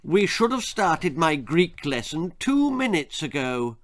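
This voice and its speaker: A man's voice: a little high, very animated, slow, a little hiss or hum, voice pushed up. A man voicing a precocious, haughty little girl who talks in a prim, formal, curt way in a children's story. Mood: imperious. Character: precocious, haughty, stern two-year-old girl genius.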